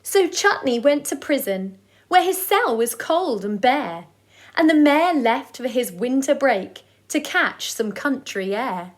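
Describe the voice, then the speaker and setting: High-voiced woman reading a children's story. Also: lively intonation. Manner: deliberate, sing-song delivery of rhyming verse.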